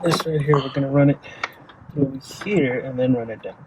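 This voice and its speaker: Casual man over loud music. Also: medium pitch, steady tone, moderate pace, low-quality recording. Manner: informal, crude swearing. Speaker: host of an off-road vehicle install channel. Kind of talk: casual hands-on chat while working. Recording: music playing behind the voice.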